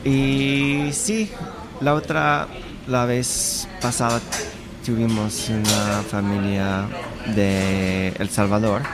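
Gravelly-voiced man speaking learned Spanish. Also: fairly low pitch, level tone, drawn-out words, over music. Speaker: guest, language exchange organizer. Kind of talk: conversational interview answers.

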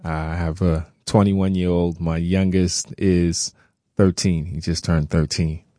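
A man's voice: low-pitched, level tone, slow pace, warm tone, dry recording, clean studio sound. A middle-aged American man from Brooklyn, speaking conversationally as an interview guest. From Brooklyn, New York. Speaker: interview guest, farmer and author.

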